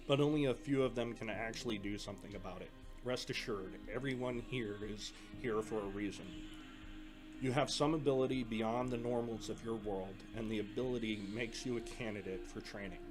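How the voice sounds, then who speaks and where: Over clear background music, a man plays an earnest older brother and military academy trainer in an audio drama. His voice sits on the low side, and he explains in a measured, instructive way. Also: fairly even intonation, natural voice. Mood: firm, reassuring.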